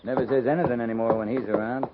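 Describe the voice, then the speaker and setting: A tinny, hissing 1950s radio recording holds a male actor as a plainspoken cavalry officer. His voice sits at an ordinary male pitch, dry and wry, with few words wasted. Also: steady tone, rapid-fire, natural voice. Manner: laconic, wry, matter-of-fact.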